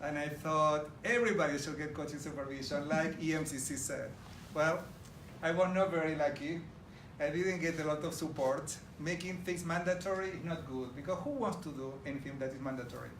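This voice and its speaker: A man's voice: a little high, a little fast, few pauses. Argentine man presenting in an echoey room. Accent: Argentine Spanish. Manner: warm, enthusiastic, addresses the audience directly. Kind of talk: conference presentation to a live audience. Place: large room with an audience. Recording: roomy, distant audio with background noise.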